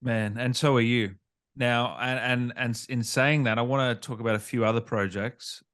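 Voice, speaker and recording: Casual male gaming interviewer, medium pitch. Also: steady tone, moderate pace, a bit bright, clear, resonant tone. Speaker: interviewer, gaming channel host.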